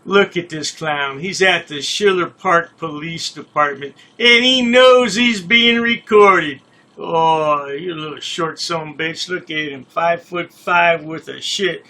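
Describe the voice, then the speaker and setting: A man's voice: fairly high pitch, a little slow, pushed and bright. A man delivering a taunting, scornful rant full of mocking insults.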